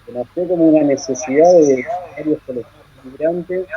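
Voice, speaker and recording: A man from Chile in his thirties, a guest in a live interview, talking in halting, self-correcting phrases. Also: a little high, warm tone. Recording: dull lo-fi audio.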